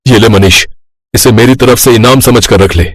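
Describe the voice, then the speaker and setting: A man's voice: mid-range voice, moderate pace, dry recording, lightly acted. A man voicing a grateful, generous village landowner in a cartoon story, earnest and magnanimous. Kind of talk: Hindi cartoon story voice acting.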